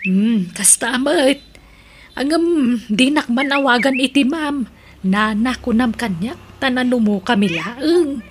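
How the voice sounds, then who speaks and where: A woman's voice: sits high, expressive, slow, a little hiss or hum. A woman playing a welcoming, motherly middle-aged farm aunt in a radio drama, with an Ilocano accent. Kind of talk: Filipino radio drama acting.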